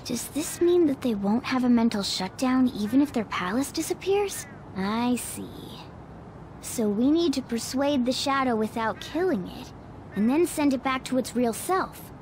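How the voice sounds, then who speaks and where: A woman's voice: sits high, lively intonation, normal speed, a little mellow, slight background noise. A woman voicing a clever, analytical talking cat creature in an English video game dub. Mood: thoughtful.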